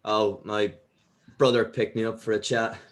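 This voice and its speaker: A man playing an earnest, hesitant young musician in a script table read, speaking in a halting everyday voice. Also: on the low side, little pitch movement, slight background noise, natural voice. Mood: casual, resigned.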